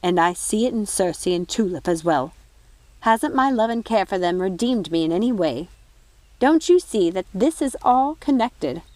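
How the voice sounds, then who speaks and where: Woman as older fairy nanny, storybook, reproachful, protective, emphatic, medium pitch. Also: animated, normal speed, a little mellow, no room sound, lightly acted, poor audio. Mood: pleading.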